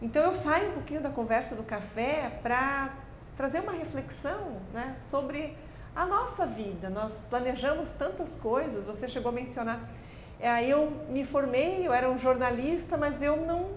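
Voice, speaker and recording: A woman hosting an interview who asks measured, reflective questions. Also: high voice, animated. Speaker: interview host. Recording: echoey room, faint background noise.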